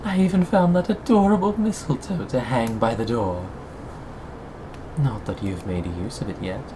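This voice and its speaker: A performer in an intimate ASMR roleplay voicing a possessive, sweetly menacing captor, coaxing and teasing with pauses and sighs. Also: mid-range voice, expressive, noisy background, close to an everyday voice.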